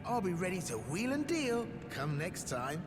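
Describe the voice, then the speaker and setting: An actor playing a chummy, shifty small-time merchant in a fantasy game, chatty and ingratiating. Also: male voice, high-pitched, big pitch swings, normal speed. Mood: chummy, nervy. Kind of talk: scripted fantasy game acting. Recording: music behind, long reverberant echo.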